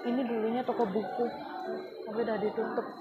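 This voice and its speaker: A woman's voice: fairly high pitch, fast, phone-quality sound, loud music behind the voice. A woman chatting casually while she walks through streets and a mall, recorded on a handheld phone. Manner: chatty, relaxed commentary while walking. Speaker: vlogger. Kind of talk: casual walking vlog. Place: outdoor streets and indoor shopping mall. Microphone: handheld phone camera. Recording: phone video with loud street noise. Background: street and mall ambience.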